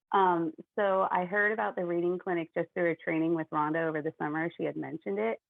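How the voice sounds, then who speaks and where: Young teacher on a tinny video call, gravelly. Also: female voice, lowish voice, dry recording. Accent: American. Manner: earnest, chatty, many "um" and "like". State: has an ear infection, may sound loud. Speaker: first grade teacher. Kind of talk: online panel talk. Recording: dry, phone-like video call audio.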